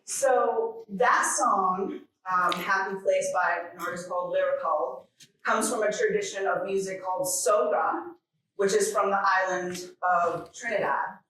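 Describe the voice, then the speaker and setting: A woman from Jamaica giving an informal keynote speech, conversational and reflective, with deliberate pauses. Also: mid-range voice, fairly bright tone, a little hiss or hum. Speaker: keynote speaker at a conference. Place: large echoing conference hall. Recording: very echoey hall, distant microphone.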